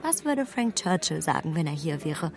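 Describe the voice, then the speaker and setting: An actress playing a spirited, opinionated young gentlewoman thinking aloud in a radio drama, with an ironic edge. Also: low-pitched, big pitch swings, normal speed, creaky, full character voice. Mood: indignant.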